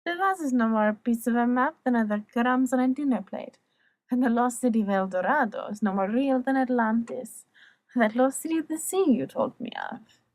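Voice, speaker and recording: A woman playing an alluring, worldly courtesan who tells tales in a soft, measured, theatrical way for an audiobook drama. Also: fairly high pitch, lightly acted. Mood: dismissive. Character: alluring young female courtesan telling legends, worldly and teasing.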